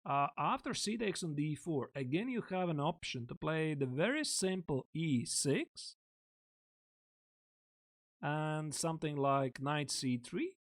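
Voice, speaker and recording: A man coaching chess on a live stream, thinking aloud and chatting casually with viewers in a close desk mic. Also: on the high side. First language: Latvian. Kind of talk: live-streamed chess lesson, explaining lines.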